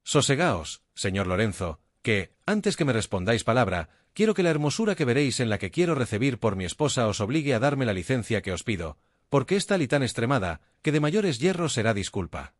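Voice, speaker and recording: A courtly, self-assured young duke speaks in measured, formal phrases, his pitch rising and falling freely, as a male narrator reads him aloud. The voice is dry and close, with no room around it. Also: very animated, no room sound. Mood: soothing.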